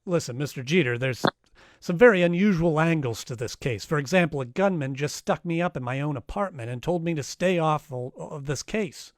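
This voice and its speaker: An actor playing a tough, wry private detective in a 1940s-style radio drama, narrating and trading lines. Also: male voice, on the high side, animated, brisk, no room sound, studio quality, lightly acted. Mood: dry, businesslike.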